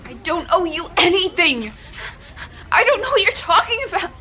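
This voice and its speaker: A woman's voice: extremely high pitch, very animated, pushed and bright, a little hiss or hum, exaggerated voice. A woman playing an anxious, bewildered young farm girl in an audio drama, both speaking and narrating. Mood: frightened. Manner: halting, breathless, anxious stammering. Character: bewildered, frightened farm girl trapped in another woman's body. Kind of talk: audio drama acting and first-person narration.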